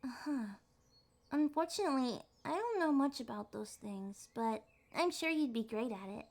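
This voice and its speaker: Woman acting as young female kitsune, high voice, adorkable, flustered, hesitant, primly formal, ASMR roleplay. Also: lively intonation, moderate pace, a little mellow. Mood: curious, encouraging. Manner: flustered, hesitant, primly wordy, awkwardly insisting on maturity. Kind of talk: ASMR roleplay, acted one-sided date conversation.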